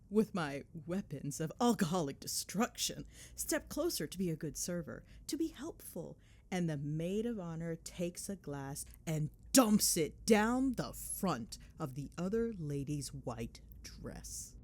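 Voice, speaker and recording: A cheeky, affectionate girlfriend acted out by a woman chats in a casual ASMR roleplay. Her voice sits on the low side for a woman and swings widely in pitch. She tells gossip with dramatic flair and sarcasm. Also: very animated, a little slow, a little mellow. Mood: gleeful, dramatic. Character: chatty, cheeky, affectionate girlfriend gossiping while driving home. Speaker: voice actor.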